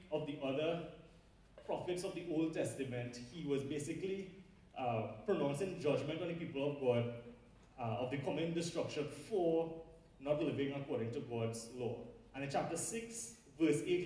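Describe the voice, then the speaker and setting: A man's voice: on the high side, normal speed, dark tone. Trinidadian man preaching in an echoey hall. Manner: conversational, with fillers and asides. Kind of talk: sermon. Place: large lecture hall with a big audience. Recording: very echoey hall, voice heard from far off.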